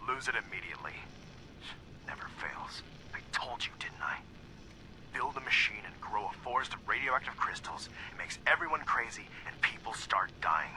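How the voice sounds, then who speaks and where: A very deep, gruff security captain. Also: male voice, lively intonation, moderate pace, bright, forceful tone, slight background noise, poor audio. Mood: grim, weary. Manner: blunt, sardonic, folksy. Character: gruff, cynical male security captain, weary and distrustful. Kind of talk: scripted video game audio log.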